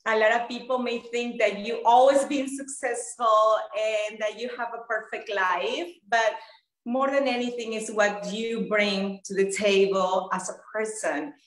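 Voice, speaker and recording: A woman's voice: fairly high pitch, fairly even intonation, measured pace, echoey room, rough recording. A woman hosting an interview in a chatty, enthusiastic way, with many fillers. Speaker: interview host. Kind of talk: casual interview chat.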